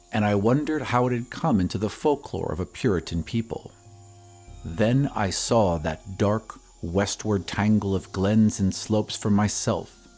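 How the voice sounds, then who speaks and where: Man voicing a low, somber horror-tale surveyor. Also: darkish tone, lightly acted. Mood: uneasy, hushed. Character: somber male surveyor recounting an eerie old tale. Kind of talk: slow, atmospheric audio drama narration.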